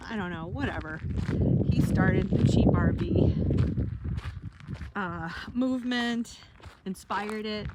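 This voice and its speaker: Slow-talking woman in her fifties. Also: medium pitch, heavy background noise. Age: 50s. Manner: rambling, reminiscing, self-correcting. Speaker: vlogger. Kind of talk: casual walking vlog. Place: outdoors in the desert.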